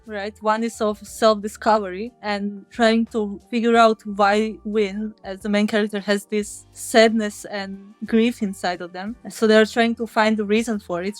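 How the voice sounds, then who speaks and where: A woman's voice: mid-range voice, no echo. A woman who develops games, explaining her work in conversational interview answers. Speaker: game developer.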